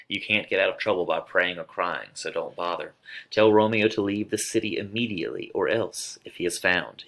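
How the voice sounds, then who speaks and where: A man's voice: low-pitched, a bit bright, rough recording. A man playing a stern, angry ruler who passes judgment in a commanding way, in a dramatic reading. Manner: commanding, severe. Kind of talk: dramatic reading of a modernized stage tragedy.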